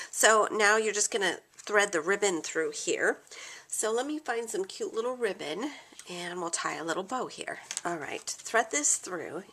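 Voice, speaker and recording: A woman's voice: mid-range voice, slight background noise. A woman hosting a casual craft tutorial. She has a cold and sounds slightly nasal, and she chats and thinks aloud as she works. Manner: chatty, thinking aloud, soft asides while working. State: stuffy nose from a cold. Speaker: craft video host.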